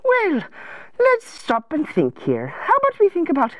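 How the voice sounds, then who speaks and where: Woman as a patient children's advisor, very high voice, lilting and animated, coaxing, fussy, gently instructive. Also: measured pace, no echo, heavily acted. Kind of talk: children's moral lesson in character.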